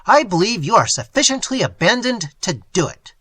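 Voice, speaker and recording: An excitable little male scientist, as a man reading the story puts him on, high and shrill as he shouts in fury. His voice leaps wildly up and down and stays bright and breathy. The sound is close and dry. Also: moderate pace, dry recording, exaggerated voice. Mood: ranting.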